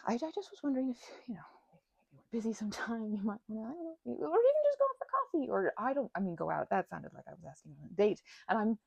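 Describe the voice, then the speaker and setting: An actress playing a shy, grateful female vampire in an intimate audio roleplay, stammering and halting, often sinking into a nervous whisper. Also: mid-range voice, vocal fry, darkish tone, slightly rough, dry recording, highs cut off, full character voice. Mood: nervous, hopeful.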